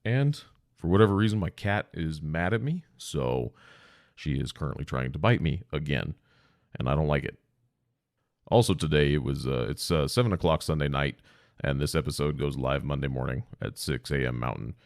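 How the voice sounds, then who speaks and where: A man's voice: extremely low pitch, lively intonation, normal speed, gravelly, no room sound, clean studio sound. A man hosting a solo podcast. He talks in a rambling, casual, self-deprecating way with some swearing, and sounds congested and stuffy-nosed from a sinus cold. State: sore throat from long talking. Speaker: podcast host.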